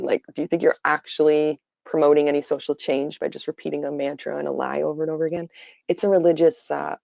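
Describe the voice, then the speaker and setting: A tired woman with a raspy voice, giving her opinions on a casual livestream full of fillers. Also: low-pitched, dark tone, dry recording. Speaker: livestream host. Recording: dull lo-fi stream audio.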